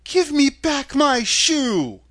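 An earnest little storybook heroine, put on by an adult narrator, high and bright. She speaks up with indignant emphasis. Her words are simple and sincere. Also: big pitch swings, takes it slowly, dry recording, clean studio sound, full character voice. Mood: angry, indignant. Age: child. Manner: earnest, emphatic, higher-pitched delivery. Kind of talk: storybook character voice.

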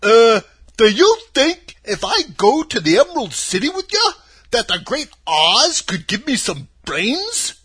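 A man voicing a friendly, mournful, simple-minded scarecrow in a storybook, husky and hesitant, often opening with a drawn-out "uh". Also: extremely high pitch, big pitch swings, sharp tone, pitched above the performer's own voice, heavily acted. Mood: puzzled, wistful. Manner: hesitant, opens phrases with drawn-out "uh".

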